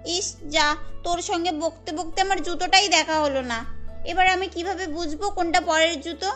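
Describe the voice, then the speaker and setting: A woman's voice: extremely high pitch, normal speed, fairly bright tone, faint music behind. A woman voicing a bossy, scheming young woman in a cartoon, chiding and chatty. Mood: puzzled, annoyed.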